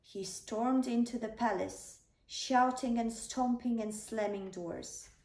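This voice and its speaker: A woman's voice: on the high side, takes it slowly, a little mellow. A woman narrating a children's bedtime story, reading aloud as a storyteller. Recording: roomy, slightly distant microphone.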